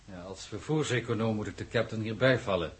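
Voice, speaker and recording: An actor playing a dry, formal transport economist in a 1960s Dutch radio play. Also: male voice, fairly low pitch, a little fast, natural voice. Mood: dryly supportive. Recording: old 1960s radio drama recording.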